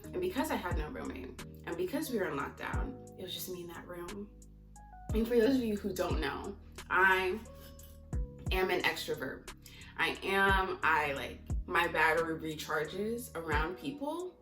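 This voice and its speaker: A woman's voice: fairly low pitch, animated, unhurried. Young American woman chatting in an echoey room. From United States (Maryland), teens in Mozambique. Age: young adult. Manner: chatty, candid, rambling. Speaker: vlogger. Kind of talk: casual chat to camera. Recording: echoey room, distant mic, music behind.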